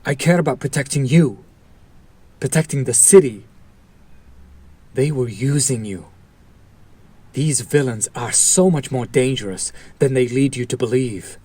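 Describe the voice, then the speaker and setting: Casting: male actor for a bitter hero-turned-villain in an audio drama, mid-range voice, slow deliberate delivery, sardonic and protective. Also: medium pitch, warm tone, breathy edge, no room sound. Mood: bitter, impassioned. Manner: deliberate, sardonic, theatrical, with long pauses. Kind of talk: dramatic audio roleplay monologue addressed to listener.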